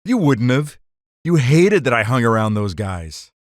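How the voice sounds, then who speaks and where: A man's voice: medium pitch, sing-song, unhurried, dry recording, clean studio sound. A man playing a hot-headed card-cheating gangster telling his story in slangy 1940s radio drama style. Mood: rueful.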